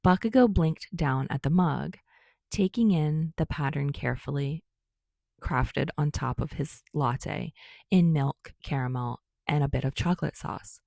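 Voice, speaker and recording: Female audiobook-style narrator, low pitched, dry close sound, telling a scripted story. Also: a little slow, gravelly, mellow, no echo. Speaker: fan fiction narrator.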